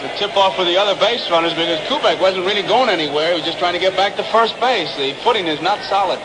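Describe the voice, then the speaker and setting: A man's voice: sits high, fast. An American man giving informal color commentary on a 1960s baseball radio broadcast. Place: outdoor ballpark broadcast. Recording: noisy vintage 1962 radio broadcast.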